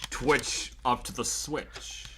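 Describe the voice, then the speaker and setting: Guy with a bright forceful voice, casually chatting on a group stream over video call. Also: mid-range voice, fairly even intonation, slow pace, slight background noise. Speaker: livestream co-host.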